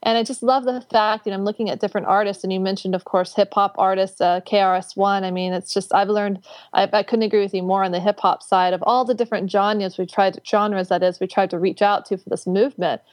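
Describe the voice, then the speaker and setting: A woman's voice: mid-range voice, fairly even intonation, fast, no room sound. A woman hosting an interview, talking in an effusive, rambling way with plenty of fillers and restarts. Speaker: host. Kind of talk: casual interview chat.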